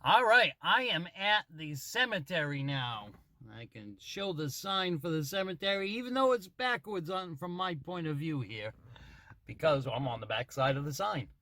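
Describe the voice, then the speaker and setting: A man's voice: a little high, lively intonation, moderate pace, sharp tone. A man giving a casual radio-testing demonstration as a hobbyist, talking in check-ins. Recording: noisy CB radio transmission at times.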